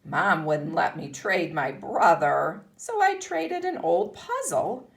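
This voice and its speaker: Lady kindergarten teacher doing a read aloud, slow and super swoopy pitch, explains stuff to little kids. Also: big pitch swings, gravelly. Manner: instructional, explaining and posing questions to children. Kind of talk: read-aloud lesson for young children, reading a picture book with asides.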